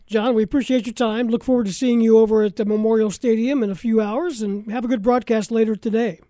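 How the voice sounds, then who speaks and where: A man's voice: sits high, steady tone, quick pace, warm tone, dry recording. A man hosting a live sports talk radio show, interviewing guests and leading into breaks.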